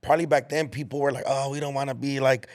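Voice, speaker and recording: A man's voice: mid-range voice, steady tone, quick pace. A young man whose first language is Spanish, chatting casually with slang and frequent restarts. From United States. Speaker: podcast guest. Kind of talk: casual chat.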